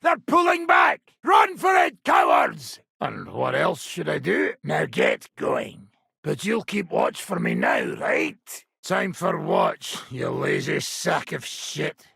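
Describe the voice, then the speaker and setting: An actor playing a coarse, combative medieval mercenary commander in a video game, with a gravelly, raspy voice and a blunt, profane, gruff manner. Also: male voice, on the high side, wildly swooping pitch, slow pace, pushed and bright, dry recording, raised voice, studio quality, exaggerated voice. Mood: battle fury, then irritable. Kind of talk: video game character acting.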